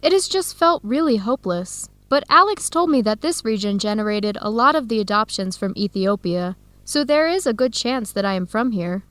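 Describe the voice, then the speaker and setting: An actress playing a warm, upbeat young researcher in an educational radio drama, speaking in clear, simple phrases. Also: female voice, medium pitch, animated, normal speed, few pauses, no echo, natural voice. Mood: thoughtful.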